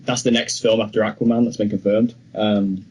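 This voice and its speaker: A man's voice: on the low side, flat delivery, a little fast, a little mellow, a little hiss or hum, poor audio. A man co-hosting a podcast, chatting casually and giving his opinions emphatically. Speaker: podcast co-host. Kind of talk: casual film news chat.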